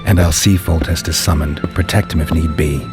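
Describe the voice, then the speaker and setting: An actor playing a weary, sardonic warrior in a video game, with a gravelly voice and a dry, laconic manner. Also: male voice, extremely low pitch, steady tone, normal speed, music in the background. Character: weary, sardonic monster-hunting warrior, gruff adult man. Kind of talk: video game cutscene acting.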